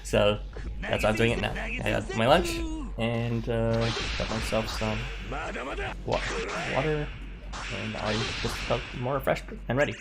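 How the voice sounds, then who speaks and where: A young man, streaming a game and chatting casually with viewers in a halting way, full of fillers and little chuckles. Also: medium pitch, gravelly. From Canada. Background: game music.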